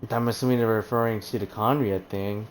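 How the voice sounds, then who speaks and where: A man's voice: lowish voice, fairly even intonation, brisk, a little hiss or hum. A man streaming a game live, reacting casually with rambling remarks, laughs and swearing. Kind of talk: casual live gameplay commentary.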